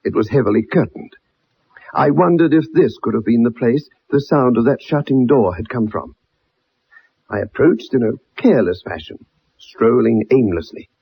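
In a muffled old radio drama, a dogged young ex-soldier. His husky, mid-pitched voice tells the story earnestly, with formal phrasing and a dramatic touch. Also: expressive, moderate pace, heavily acted. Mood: earnest recounting. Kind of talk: 1960s radio drama acting.

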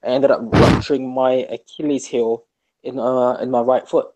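Laid-back slangy guest. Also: male voice, medium pitch, flat delivery, normal speed, a little mellow, low-quality recording. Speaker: podcast guest. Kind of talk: casual podcast chat.

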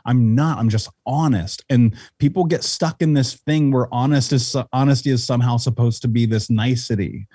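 A blunt, intense man speaks as a podcast guest and swears freely. His voice is mellow and a little husky. It sounds dry and close on the microphone. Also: mid-range voice, moderate pace, hardly any pauses, no room sound. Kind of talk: casual podcast conversation.

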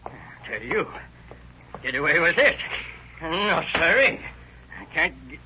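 An actor playing an excitable, folksy old rancher who stammers, in 1930s radio drama style. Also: male voice, on the high side, takes it slowly, noisy background. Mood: cranky defiance. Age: older. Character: frightened, indignant old male rancher. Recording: muffled vintage radio recording.

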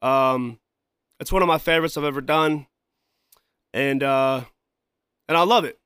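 A man hosting his own podcast in casual, rambling talk, repeating himself, often saying "you know" and "man", and clearing a scratchy throat. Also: medium pitch, measured pace, fairly bright tone, no echo, clean studio sound. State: throat trouble, coughing and clearing throat. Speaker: podcast host and musician.

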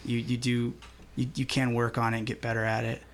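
Relaxed rambling man in his twenties. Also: mid-range voice, fairly quick, a little hiss or hum. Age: 20s. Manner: relaxed, rambling with many ums. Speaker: musician guest. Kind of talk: casual interview chat.

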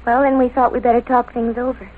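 A woman's voice: on the high side, level tone, quick pace, close to an everyday voice. An actress playing a childlike, unbothered teenage girl killer in old radio drama, matter-of-fact and girlish. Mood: eerily calm. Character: sweet-faced, eerily calm, childlike teenage girl killer. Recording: tinny, noisy vintage radio recording.

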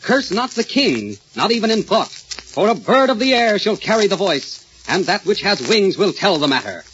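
Bright, high-voiced radio announcer. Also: male voice, sing-song, normal speed. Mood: genially explaining. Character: chuckling, eager-to-explain radio announcer. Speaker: radio announcer and comic foil. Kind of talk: 1940s radio announcing and comedy banter. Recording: muffled, faintly noisy old radio recording.

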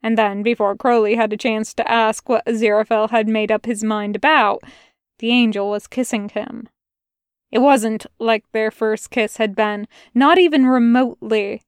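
A woman narrating an audiobook of fan fiction in a measured, unhurried way. Her voice sits somewhat high and is smooth and clear, with a dry, close sound. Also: no echo. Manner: slow, measured reading. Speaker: audiobook reader.

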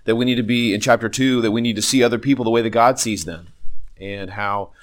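A man who is a pastor and podcast host, teaching a Bible lesson with a sniffle from a cold, often pausing and restarting his sentences. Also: on the low side, steady tone. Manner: halting, many fillers and restarts.

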